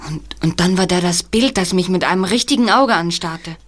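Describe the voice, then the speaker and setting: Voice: mid-range voice, brisk, a bit bright, close to an everyday voice. An actor playing an uneasy, reluctant boy detective sidekick in an audio drama, plain-spoken and a little hesitant. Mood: uneasy, defensive.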